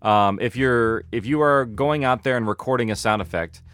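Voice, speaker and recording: A man talking casually as an interview guest, explaining practical things in a halting, think-aloud way. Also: mid-range voice, fairly bright tone, clear, resonant tone, no room sound. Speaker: interview guest, audio drama producer.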